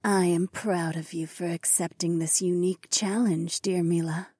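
A woman voicing a serene, benevolent wolf goddess in a fantasy audiobook, soft, formal, breathy and sultry. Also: fairly low pitch, steady tone, no echo, clean studio sound. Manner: slow, formal, soft blessing.

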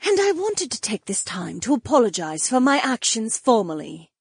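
A woman playing a gentle, earnest, formal noblewoman with a breathy voice in a fantasy audiobook. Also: a little high, sing-song, moderate pace, no room sound, studio quality, exaggerated voice. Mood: earnest, remorseful. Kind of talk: fantasy audiobook character acting.

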